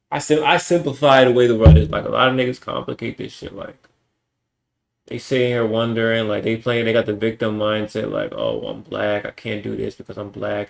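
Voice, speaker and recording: Streamer, young adult male, mid-pitched and gravelly, blunt and emphatic, swears a lot. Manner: blunt, profane, emphatic ranting. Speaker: livestreamer. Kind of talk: casual stream monologue.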